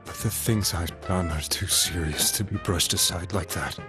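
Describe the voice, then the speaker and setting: Guy playing a broken, remorseful man in a game, low subdued voice, music behind him. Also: normal speed, close to an everyday voice. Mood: remorseful, resigned. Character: remorseful, weary male ex-political hopeful confessing his crimes. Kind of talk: English game voice acting.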